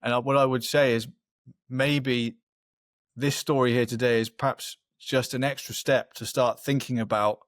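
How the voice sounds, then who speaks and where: A man's voice: medium pitch, fairly even intonation, moderate pace, dry recording, studio quality. A man giving explanatory answers as a company representative on a webinar panel, in long, qualified sentences.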